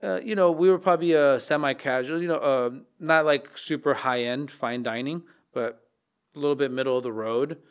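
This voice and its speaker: A man in his thirties, a chef and podcast guest, talking in a reflective, casual way, often restarting his sentences, into a studio mic in a dark podcast studio. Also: mid-range voice, normal speed, clean tone. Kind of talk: casual interview talk. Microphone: studio mic on boom arm.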